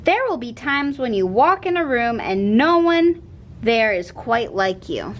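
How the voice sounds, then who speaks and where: A woman narrating a children's picture book in an expressive read-aloud style. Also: measured pace, highs cut off. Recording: noticeable background noise with low rumble.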